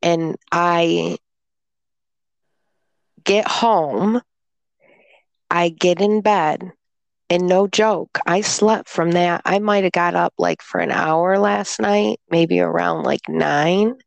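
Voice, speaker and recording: Slow, creaky-voiced woman sounding congested. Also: lowish voice, no echo, highs cut off. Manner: rambling storyteller, slow and drawn-out. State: congested, recovering from illness. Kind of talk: casual chat.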